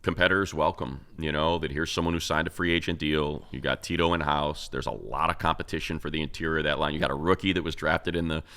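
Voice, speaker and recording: A man's voice: a bass voice, steady tone, fast, hardly any pauses, pushed and bright, clean tone. A man hosting a sports show, giving casual, emphatic football analysis in conversation.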